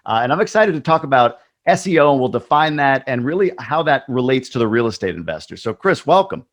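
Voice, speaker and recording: A man's voice: mid-range voice, very animated, quick pace. Upbeat male podcast host. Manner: upbeat, rambling questions. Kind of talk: interview hosting.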